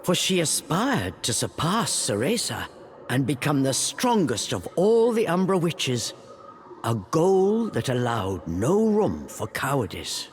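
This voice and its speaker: A woman's voice: low-pitched, very animated. Woman narrating a storybook tale. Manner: measured, fairytale-like.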